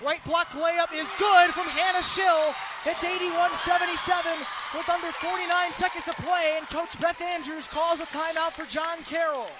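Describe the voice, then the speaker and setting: A very high-voiced man calls a basketball game live on radio. He projects excitedly in clipped, rapid phrases, set in loud arena noise with a crowd behind him. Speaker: play-by-play commentator. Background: crowd noise and bouncing basketball.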